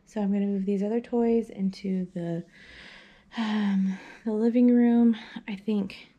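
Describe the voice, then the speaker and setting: A woman's voice: on the low side, mellow. A young woman vlogging casually at home, rambling with many fillers and restarts, filmed on a phone held at arm's length in a small playroom. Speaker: mom vlogger. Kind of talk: casual chat. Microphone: handheld phone camera at arm's length.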